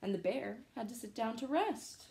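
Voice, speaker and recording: A young woman reading a children's storybook aloud at home in an expressive, playful way. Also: on the high side, normal speed, creaky, a little hiss or hum. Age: young adult. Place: small living room at home.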